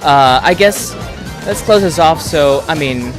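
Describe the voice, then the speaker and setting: A man's voice: a little high, lively intonation, a little slow, music in the background. A man interviewing someone in a loose, chatty, rambling way. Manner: loose, rambling questions with many "like"s. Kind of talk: casual chat.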